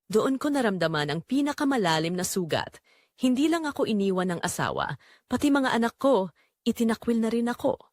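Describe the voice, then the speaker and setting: A woman's voice: fairly high pitch, very animated, fairly quick, darkish tone. A woman narrating a scripted romance drama in the first person, with an emotive, sorrowful delivery.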